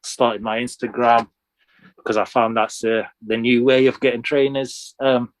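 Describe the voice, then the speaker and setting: A man's voice: medium pitch, little pitch movement, moderate pace, no room sound. A man in his thirties from Sudan, chatting casually and telling his story as an interview guest. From Sudan, moved to the UK when young. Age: 30s. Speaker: interview guest, sneaker collector.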